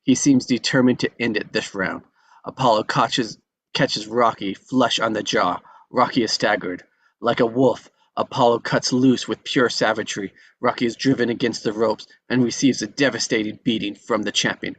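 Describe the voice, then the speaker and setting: Male action narrator, lowish pitch, scripted read of screen directions. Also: steady tone, moderate pace, a bit bright, a little hiss or hum.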